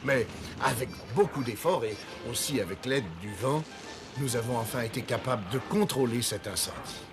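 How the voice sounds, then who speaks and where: A man voicing a gentle elephant king and father, narrating his story in a French cartoon. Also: medium pitch, normal speed, natural voice. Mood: reflective. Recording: music behind the voice.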